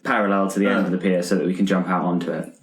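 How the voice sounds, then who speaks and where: A man's voice: deep voice, level tone, racing. A man playing a tabletop roleplaying game, chatting casually and improvising his moves. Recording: roomy, echoey room sound.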